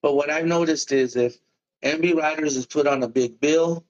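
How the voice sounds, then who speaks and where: A man in a relaxed, casual interview, talking about his music in long, loose sentences. He throws in "man" and "bro" as he goes. The recording sounds a little dull and lo-fi. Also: on the high side, moderate pace. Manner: laid-back, talks in loose run-on sentences with "man" and "bro". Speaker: musician guest.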